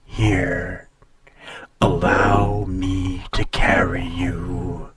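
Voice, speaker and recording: Guy doing an ancient dragon for roleplay audio, low and pretty flat, dangerous but teasing, condescending, hushed breathy delivery. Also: very slow, heavily acted. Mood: smug, solicitous. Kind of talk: slow intimate roleplay audio acting.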